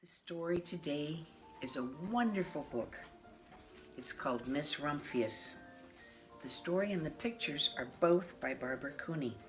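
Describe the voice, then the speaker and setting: A woman of mature years reading a children's picture book aloud in a measured, expressive way. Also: low-pitched, low-quality recording. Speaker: storybook reader. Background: classical piano music.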